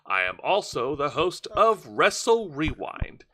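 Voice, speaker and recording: A man with a fairly high, bright and forceful voice hosts a live panel show. His pitch swings widely as he addresses the audience and his co-hosts. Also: big pitch swings, measured pace, a little hiss or hum. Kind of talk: lively panel show hosting.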